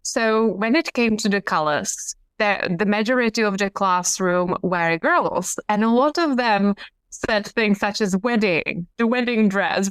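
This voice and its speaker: Woman, smooth clear voice, slow pace, dry close sound. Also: medium pitch, a bit bright, no echo. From Czech Republic. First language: Czech. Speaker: podcast guest, hypnotherapist. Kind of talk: conversational storytelling.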